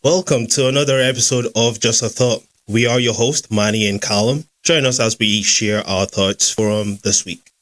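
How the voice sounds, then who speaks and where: A man's voice: medium pitch, fairly even intonation, normal speed, a bit bright, a little hiss or hum. A young Scottish man hosting a podcast in an easygoing chat with some fillers. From Scotland. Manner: easygoing, with fillers and small laughs. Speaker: podcast host and founder. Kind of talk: casual chat.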